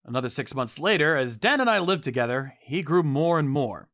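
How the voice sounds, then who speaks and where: A man narrating a manga dub story in the first person with earnest, expressive delivery. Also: fairly high pitch, a little fast. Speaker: narrator and voice actor.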